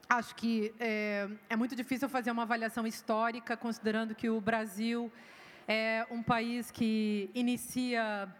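A woman speaking as an invited panelist, talking thoughtfully and pausing with hesitations. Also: a little high. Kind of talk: spontaneous panel talk. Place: lecture hall with audience. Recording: reverberant hall.

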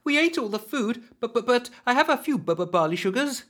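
Guy doing a nervous young woman, stammers a lot, voice way up high. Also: big pitch swings, a little slow, sharp tone, exaggerated voice. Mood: frightened. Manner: stammering, stuttering.

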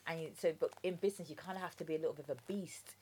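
A woman in her thirties with a London accent talks through her experience as a guest on a podcast. Her voice sits low and deep. She is chatty and frank, and she keeps checking in with "do you know what I mean". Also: rapid-fire, mellow, slight background noise. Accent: London English. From Jamaica. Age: 30s. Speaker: guest entrepreneur. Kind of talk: casual podcast interview.

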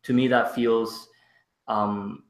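A man's voice: medium pitch, little pitch movement, roomy sound. A young man from Canada, a guest in a casual conversation, speaking hesitantly with lots of fillers. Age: young adult. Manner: hesitant, halting, many fillers.

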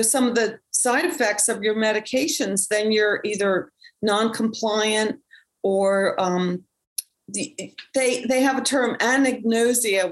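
A woman's voice: mid-range voice, rough recording. A woman speaking reflectively in a casual conversation, often restarting her sentences as she thinks. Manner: reflective, with frequent restarts and fillers.

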